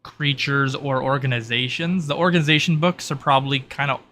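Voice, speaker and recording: Man, bright voice, game master, hesitant explanations, online call, faint noise. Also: mid-range voice, fairly even intonation, a little fast. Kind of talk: improvised narration of an online tabletop roleplay.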